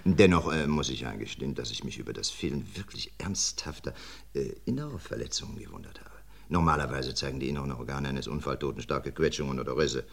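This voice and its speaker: An actor playing a seasoned, sardonic forensic doctor in a vintage radio crime drama, lecturing dryly. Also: male voice, a bass voice, animated, fast talker, gravelly, exaggerated voice. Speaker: police doctor. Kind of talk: 1950s radio play acting.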